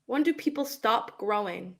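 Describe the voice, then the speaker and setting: A woman's voice: a little high, lively intonation, normal speed, vocal fry. A woman acting a wondering, thoughtful young female character in a dramatic script reading.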